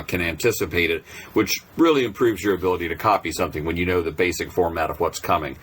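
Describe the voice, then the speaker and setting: A man's voice: deep voice, fairly bright tone, slight background noise. A man narrating an informal, unscripted tutorial, explaining step by step in a hesitant way. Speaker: presenter of a software tutorial.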